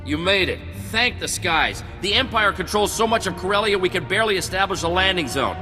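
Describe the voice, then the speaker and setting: A man's voice: high voice, lively intonation, fairly quick. A man playing a blunt, harried, war-weary army captain in a video game. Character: harried, gruff-talking male army captain in wartime. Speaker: military officer. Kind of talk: dramatic scripted game acting. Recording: long reverb, music behind.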